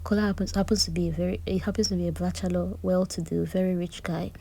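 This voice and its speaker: A woman gives a chatty, animated recap of a reality-show drama, with personal asides. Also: lowish voice, quick pace, creaky. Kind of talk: casual recap commentary.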